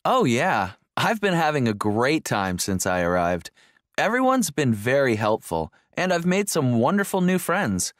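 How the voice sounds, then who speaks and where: A man's voice: medium pitch, sing-song, unhurried, fairly bright tone, dry recording, clean studio sound. A man playing an enthusiastic visitor in a scripted textbook passage. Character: enthusiastic male visitor on holiday.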